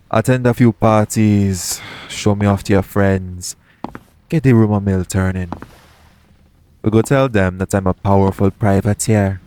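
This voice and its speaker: A man playing a shady, smooth-talking pirate captain in an audio roleplay, coaxing and self-assured in a conversational way. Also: lowish voice, darkish tone, slight background noise, natural voice. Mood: scheming, confident. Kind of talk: second-person audio roleplay acting.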